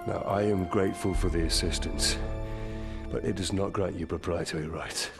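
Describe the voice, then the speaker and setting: A reserved male ranger, once a military captain, in a fantasy video game. His voice sits low and his words are formal, dry and clipped short. Loud music plays behind him. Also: fairly even intonation, moderate pace, gravelly. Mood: cool, guarded. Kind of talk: dramatic game cutscene dialogue.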